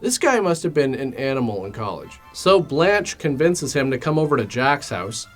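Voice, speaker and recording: A man's voice: mid-range voice, big pitch swings, normal speed, soft background music. A man narrating a comedic film recap in a sarcastic, mock-dramatic and casually crude way. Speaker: host of a film commentary show.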